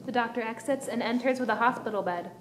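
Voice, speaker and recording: A woman's voice: a little high, fast talker. A woman reading stage directions as scripted narration for a play. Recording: echoey room, faint noise.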